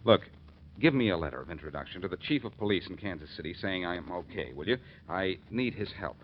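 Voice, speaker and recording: An actor playing a cocky, wisecracking amateur sleuth in a 1940s radio detective drama, glib and taunting. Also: male voice, sits low, lively intonation, fast talker. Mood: smug, teasing. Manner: glib, taunting, self-assured. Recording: muffled, noisy 1940s radio recording.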